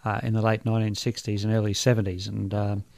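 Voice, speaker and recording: Relaxed Australian man with many fillers. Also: fairly low pitch, fast, creaky, no room sound. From Brisbane, Australia. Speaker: doctor, radio guest. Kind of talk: radio interview. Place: radio studio. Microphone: studio microphone.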